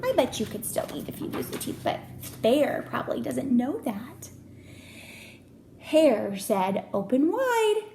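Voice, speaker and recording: A woman reads a picture book to young children in an expressive, teacherly way. Her voice is fairly high with noticeable creak, and she takes her time, pausing for chatty asides. The room echoes around her and there is faint noise. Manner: expressive, child-directed, asking questions and commenting. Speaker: kindergarten teacher reading aloud. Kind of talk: storybook read-aloud with chatty asides to children.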